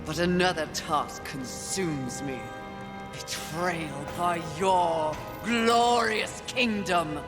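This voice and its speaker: A woman's voice: on the low side, extremely slow. A woman voicing a proud, wrathful female warrior of the apocalypse in a video game, speaking in a curt, imperious, disdainful way. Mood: cold, defiant. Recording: music behind.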